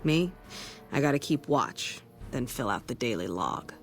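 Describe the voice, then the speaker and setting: Blunt, gravelly outpost ranger. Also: male voice, mid-range voice, very animated, a little slow. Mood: jaded, sardonic. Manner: blunt, dry, sardonic. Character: weary, blunt, watchful ranger sniper on outpost duty. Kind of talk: video game dialogue acting.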